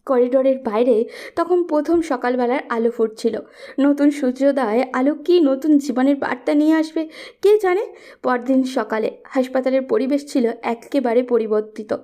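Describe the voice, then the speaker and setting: A woman's voice: sits high, moderate pace, warm tone. A woman reading a scripted romantic story as its narrator.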